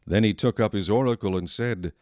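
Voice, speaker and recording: A male narrator tells a scripture story in a fairly low voice. His reading is measured and solemn, recorded close and dry without any room sound. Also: a little mellow, no echo.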